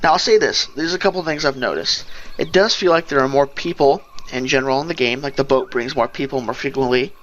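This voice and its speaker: A man's voice: mid-range voice, fairly quick, fairly bright tone, dull audio. A man giving casual, rambling gameplay commentary, thinking aloud as he goes. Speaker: let's play host. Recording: game music behind the voice. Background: fantasy game music and sounds.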